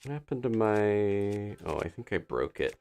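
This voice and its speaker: A man's voice: fairly low pitch, very animated, measured pace, creaky, a little mellow, clean tone. A man giving casual live video game commentary as a streamer, musing to himself and thinking aloud as he plays.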